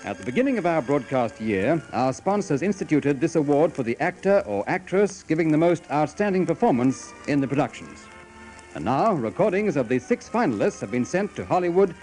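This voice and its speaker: A man's voice: a little high, darkish tone. A man announcing formally for a 1950s radio theatre broadcast. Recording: vintage radio broadcast with music.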